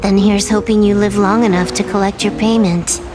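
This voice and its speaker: An actress as a wry, wary female game character. Her voice is mid-range in pitch. Clear music runs underneath her lines. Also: fairly even intonation, brisk, close to an everyday voice. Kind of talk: video game story dialogue.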